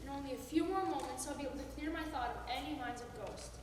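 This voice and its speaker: A woman's voice: high-pitched, fast, close to an everyday voice. An actress on stage playing an anxious young woman who feels haunted, speaking earnestly and nervously. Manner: earnest, nervous, then defiant. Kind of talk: amateur stage acting. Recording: echoey hall from far off, noisy.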